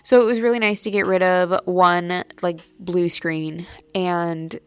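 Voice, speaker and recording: A woman's voice: fairly low pitch, steady tone, measured pace, clear, resonant tone, no room sound. A young woman hosting a podcast, chatting casually and rambling with lots of "like", her nose a little stuffy. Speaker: podcast host. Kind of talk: casual solo chat. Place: at home late at night.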